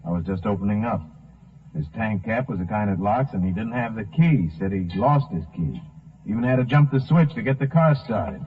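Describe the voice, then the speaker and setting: A man's voice: lowish voice, expressive, moderate pace, breathy edge. A man acting an earnest, folksy American gas station worker under suspicion in a 1950s radio drama. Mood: earnest, explaining. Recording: muffled narrow-band 1950s radio recording.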